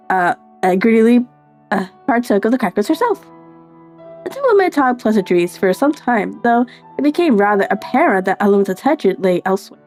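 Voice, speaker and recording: A woman reads a visual novel aloud as the player and narrator. Her pitch moves in a lively way through storybook prose and offhand comments, with faint music behind her. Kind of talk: dramatic read-aloud narration with casual asides.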